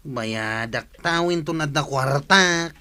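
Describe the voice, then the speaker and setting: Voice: mid-range voice, takes it slowly, pushed and bright. An actor playing a lazy, broke, woozy tricycle driver in a comic radio drama. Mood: wheedling. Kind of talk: comedic radio drama acting.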